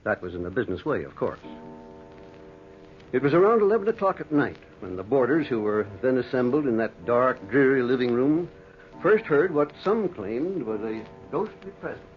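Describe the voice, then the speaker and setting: An actor playing a composed, skeptical doctor in a radio drama, measured and wry. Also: male voice, mid-range voice, sing-song, soft background music, natural voice. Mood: storytelling. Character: skeptical, composed doctor narrating a ghost story. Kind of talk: old-time radio drama narration and acting. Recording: dull, lo-fi old radio recording.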